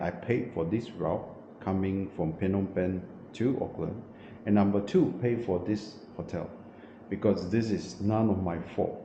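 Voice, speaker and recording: A man showing a small windowless hotel room while telling a travel story, often pausing and correcting himself with many fillers. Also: fairly low pitch, mellow. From New Zealand. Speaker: travel vlogger. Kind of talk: casual vlog walkthrough. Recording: echoey room, muffled, noisy background.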